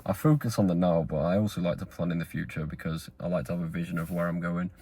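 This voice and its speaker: A man's voice: low voice, steady tone, fast, mellow, husky. A man, talking straight to camera in a rambling, earnest motivational monologue. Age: 20s. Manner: rambling, earnest, with fillers and restarts. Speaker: vlogger.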